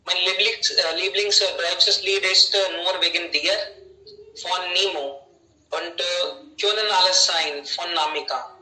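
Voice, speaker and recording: A man's voice: high-pitched, fairly even intonation, measured pace, sharp tone. A man talking in a group conversation over a video call, searching for words with many fillers. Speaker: German learner. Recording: roomy sound, distant mic.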